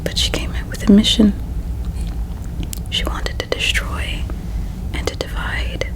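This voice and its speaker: A woman performing a whispered ASMR roleplay as a regretful, solemn alien princess, with a breathy, hushed, halting delivery. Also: low-quality recording. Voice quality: breathy whisper with soft creak. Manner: slow, halting, hushed storytelling.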